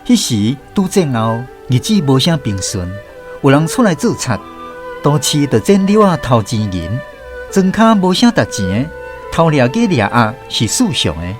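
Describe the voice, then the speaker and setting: Animated Taiwanese man narrating a story. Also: medium pitch, a little slow, music in the background. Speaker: radio drama narrator. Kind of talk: scripted storytelling narration in a radio drama.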